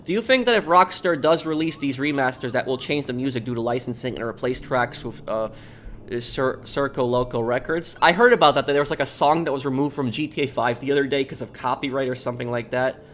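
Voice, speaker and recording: A man's voice: mid-range voice, a little fast, fairly bright tone. A man from New York, live-streaming a game and chatting casually with viewers in a rambling, talkative way. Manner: rambling and talkative, with many restarts.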